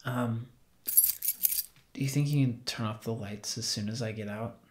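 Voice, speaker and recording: A man's voice: mid-range voice, moderate pace, darkish tone, a little hiss or hum, lightly acted. A man playing an earnest, defensive and naively trusting young man in a naturalistic audio drama. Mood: dejected. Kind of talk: naturalistic audio fiction podcast acting.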